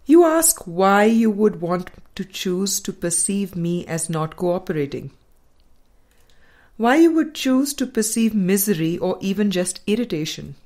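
A woman reading a spiritual book aloud in a measured, deliberate and formal way. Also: mid-range voice, expressive, a little mellow. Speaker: audiobook reader.

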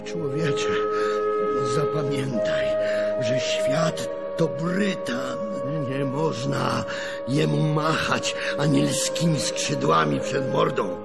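An actor playing a crusty, bawdy old country grandfather in a radio play, with a creaky voice and a folksy, scolding, cajoling manner. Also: mid-range voice, big pitch swings, takes it slowly, heavily acted. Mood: weary, wry. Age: older. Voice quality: gravelly, creaky. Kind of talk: radio drama acting. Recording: music playing under the voice.

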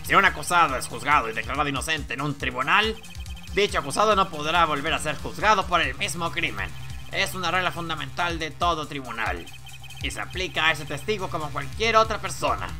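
A man acts out a stern old judge from a courtroom game. His voice is very sharp and forceful, with formal, pompous delivery over faint music. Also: a little high, expressive, fairly quick, heavily acted. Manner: formal, pronouncing.

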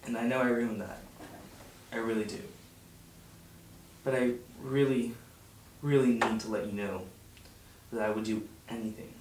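A young man reading the part of a lovesick teenage boy, earnest and halting, in a web series script. The sound is distant and echoey, with noticeable noise in the room. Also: medium pitch, steady tone, lightly acted. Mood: regretful, pleading. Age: young adult. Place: home dining room around a table. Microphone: far camera. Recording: roomy, distant mic, clear background noise.

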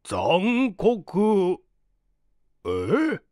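A performer voicing a genial, naive, well-off older gentleman with a leisurely, pompous, old-fashioned way of talking in a storybook reading. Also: mid-range voice, wildly swooping pitch, extremely slow, no room sound, studio quality, voice pushed up, full character voice. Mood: baffled. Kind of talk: dramatized literary reading.

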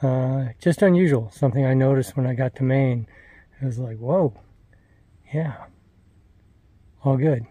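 A man's voice: mid-range voice, a little slow, pauses often, mellow, no room sound. A man in his sixties vlogging casually from a hike, rambling with many fillers and restarts, filmed at arm's length. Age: 60s. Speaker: thru-hiker vlogger. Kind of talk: casual trail vlog. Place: forest trail outdoors; wooden hostel room indoors. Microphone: phone or camera held at arm's length.

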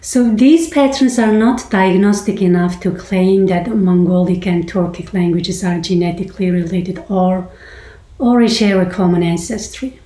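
A woman in her forties hosting and explaining a language topic in a measured, academic way, recorded on a laptop in a small room. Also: mid-range voice, dark tone. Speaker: host. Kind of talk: scripted explainer lecture. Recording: roomy echo.

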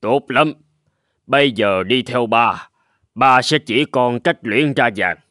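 A shrewd older father-in-law from a cartoon fable. He speaks calmly and solemnly at a mid pitch, measuring out his words. The sound is dry and close. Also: takes it slowly, dry recording. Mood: solemn, assured. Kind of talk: cartoon voice acting.

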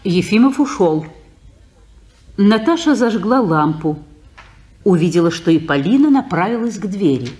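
A woman calmly reading the stage directions in a 1950s radio play. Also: mid-range voice, normal speed, long pauses. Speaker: narrator. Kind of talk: scripted narration of stage directions.

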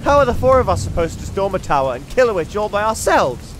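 A cautious, sarcastic elf played by a man argues with exasperation. His voice is fairly high and very animated, with a clear storm behind it in a comic fantasy drama. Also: fast, background noise. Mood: exasperated. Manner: sardonic, argumentative. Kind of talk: comedy fantasy audio drama. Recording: rain and thunder behind.

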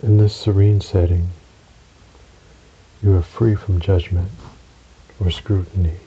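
A man narrating a guided relaxation meditation in a soothing, measured way, with long pauses between short phrases. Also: low voice, little pitch movement, warm tone. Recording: dull recording with audible hiss.

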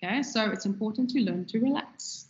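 A woman giving a measured, instructive lecture on radio presenting, with a trained, loud voice and frequent ums. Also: a little high. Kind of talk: recorded lecture. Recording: echoey, dull lo-fi room recording.

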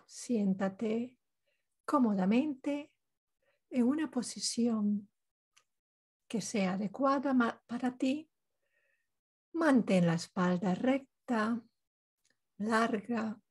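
A woman leading a guided meditation, giving calm instructions in short phrases with pauses between them. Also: medium pitch, husky.

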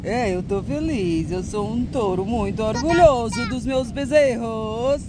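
A woman voicing a proud, fatherly toy bull in a slow, lowered, contented voice, outdoors in a park. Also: over music, natural voice. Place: outdoors on grass in a beach park. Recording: outdoor noise with passing traffic.

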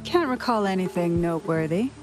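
A woman's voice: lowish voice, lively intonation, a little fast, music in the background, lightly acted. Blunt, offhand female rogue played by an actress. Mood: dismissive. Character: blunt, shifty female rogue posing as an exile. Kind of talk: anime game voice acting.

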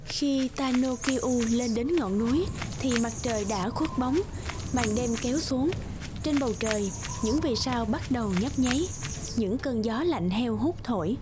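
A woman's voice: on the high side, measured pace, background noise. A woman reading scripted folktale narration as a storyteller. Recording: music bed under narration.

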